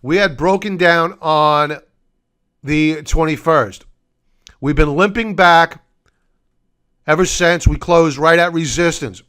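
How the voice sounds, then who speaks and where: A hoarse, middle-aged man, losing his voice, hosting a solo stock-market recap over charts and walking viewers through his reasoning. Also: on the high side, a bit bright. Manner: deliberate, explanatory, addresses viewers as folks. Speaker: market commentary host.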